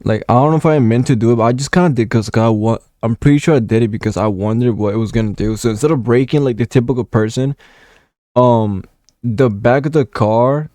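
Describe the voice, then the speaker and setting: A man's voice: medium pitch, fairly quick, warm tone, no echo, studio quality. Young man rambling through gaming memories. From Puerto Rico. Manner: rambling, swears a lot, many fillers. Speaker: gaming content creator. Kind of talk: casual storytelling vlog.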